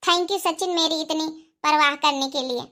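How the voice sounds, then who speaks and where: A woman's voice: sits high, fairly even intonation, moderate pace, bright, forceful tone. A woman voicing a vain, defiant young schoolteacher wife in a cartoon comedy, with a sassy, haughty manner. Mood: coy.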